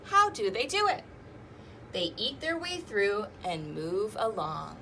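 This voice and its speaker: A woman's voice: medium pitch, animated, slow, pushed and bright, slight background noise. A woman hosting an interactive storytime, reading aloud to young children with singsong emphasis and inviting them to join in. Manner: asks listeners questions and invites them to join in.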